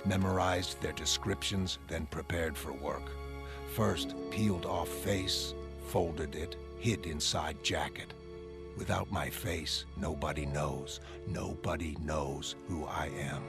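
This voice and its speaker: An actor playing a grim, obsessive masked vigilante reading his journal in clipped, growling sentences for a dark comic-book drama. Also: a bass voice, slow pace, heavily acted. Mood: grim, weary. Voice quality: gravelly, growling. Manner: clipped, terse, monotone sentences. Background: loud music.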